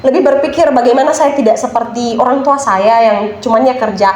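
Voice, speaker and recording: A young woman from Nias, a podcast guest chatting casually, often pausing and searching for words. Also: sits high, a little mellow. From Nias, Indonesia. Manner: hesitant, searching for words with many fillers. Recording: roomy studio with faint noise.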